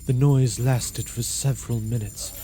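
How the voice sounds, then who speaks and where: A man giving a theatrical, deliberate and intense first-person reading of a gothic story as its narrator. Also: mid-range voice, fairly even intonation, mellow, faint music behind.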